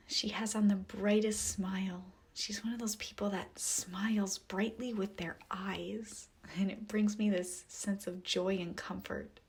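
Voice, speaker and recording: Soft whispery lady doing a guided meditation story, super breathy, slow n soothing, lots of pauses. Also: mid-range voice.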